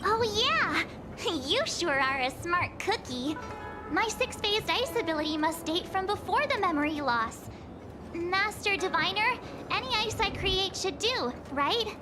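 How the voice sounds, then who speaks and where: A bubbly teenage girl adventurer from a video game. Her voice is high and very animated, perky and naive in manner, with music clearly underneath. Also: measured pace. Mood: excited. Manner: perky, expressive, informal. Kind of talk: scripted video game dialogue. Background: background music.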